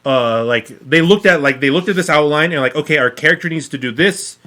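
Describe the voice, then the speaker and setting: A man co-hosting a podcast, explaining casually in a halting way, often rephrasing himself. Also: on the high side, bright, forceful tone. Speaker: podcast co-host reviewing films. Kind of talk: casual chat.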